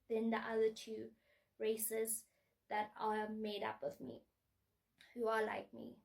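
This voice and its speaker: Woman vlogging, slow and earnest, bit of fry, mic sits a little far off. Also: on the high side. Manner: earnest, measured and reflective. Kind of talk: personal vlog monologue.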